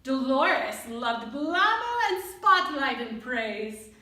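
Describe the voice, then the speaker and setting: A woman reading a children's story aloud expressively and deliberately, pausing to put questions to young listeners. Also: high voice, big pitch swings, sharp tone. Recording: roomy echo, distant mic.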